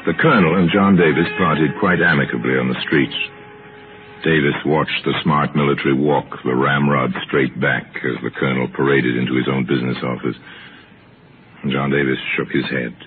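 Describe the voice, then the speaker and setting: A man narrating an old-time radio mystery as host, measured and storytelling in his delivery. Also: a bass voice, steady tone, soft background music. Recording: tinny old radio broadcast.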